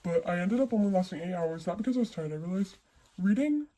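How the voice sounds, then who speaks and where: A mellow, soft-edged voice run through a voice-changing effect, in a mid register. The speaker is a book vlogger giving casual reviews, rambling along informally with plenty of slang. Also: mid-range voice, animated, quick pace, rough recording. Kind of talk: casual monthly reading wrap-up chat.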